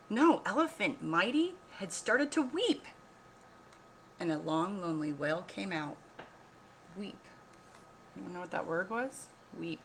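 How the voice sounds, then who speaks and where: A woman reading a picture book aloud like a teacher, pausing to explain, ask questions and repeat key points. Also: mid-range voice, fairly bright tone, slight background noise. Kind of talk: read-aloud storytelling with explanatory asides.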